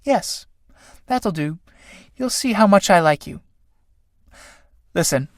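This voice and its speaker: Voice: mid-range voice, animated, slow, no room sound, studio quality, pitched above the performer's own voice. A reader voicing a vain, flighty female butterfly, gushing and affected, in a dramatized reading of a play. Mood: dreamy.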